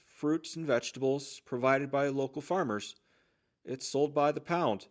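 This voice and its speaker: A man's voice: medium pitch, lightly acted. A man playing a chatty, obliging general-store trader in a post-apocalyptic audio drama, full of eager sales patter. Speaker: voice actor playing a shopkeeper.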